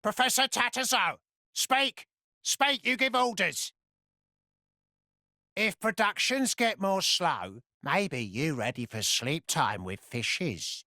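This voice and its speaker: A woman playing a small excitable creature henchman in a video game, fawning and bossy, talking in broken grammar. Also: lowish voice, takes it slowly, no echo, clean studio sound, full character voice. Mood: menacing, bossy.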